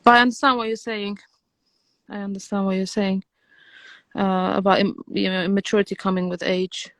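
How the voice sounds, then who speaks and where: Soft-spoken woman with a dry close sound. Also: medium pitch, flat delivery, fairly quick, no room sound, low-quality recording. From Algeria. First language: Romanian. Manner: hesitant, restarts often, soft-spoken. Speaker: guest on a live stream. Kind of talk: casual livestream chat.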